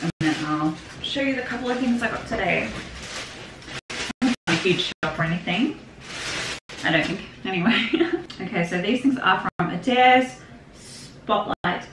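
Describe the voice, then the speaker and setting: A young woman from Australia chatting casually on camera in her home craft room, rambling a little and laughing often as she talks. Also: lowish voice. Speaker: vlogger. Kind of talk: casual shopping haul chat. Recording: echoey room with background noise.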